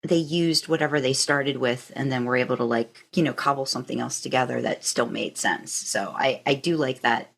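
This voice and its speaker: Low-voiced woman hosting a podcast. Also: moderate pace, vocal fry, few pauses, husky. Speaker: podcast host. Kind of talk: casual interview chat.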